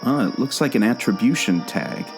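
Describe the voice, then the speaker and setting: Lively, earnest explorer guide acted by a man. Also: lowish voice, normal speed, creaky, soft background music, low-quality recording. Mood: puzzled. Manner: earnest, storytelling. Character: eager, knowing male explorer guide unraveling a mystery. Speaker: co-host of a theme park podcast. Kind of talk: scripted audio drama dialogue.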